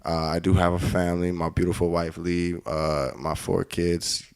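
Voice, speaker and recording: Laid-back young man with a lowish voice. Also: little pitch movement, normal speed, darkish tone, smooth. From Caribbean. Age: 20s. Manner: storytelling, laughs often, acts out quoted speech. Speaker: guest music producer. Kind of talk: casual chat.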